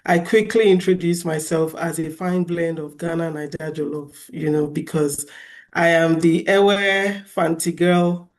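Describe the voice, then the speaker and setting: A woman in her thirties from Nigeria with a Nigerian accent, a lively, chatty artist speaking on a festival panel. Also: fairly low pitch, unhurried. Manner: lively, chatty, laughs easily. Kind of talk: panel discussion.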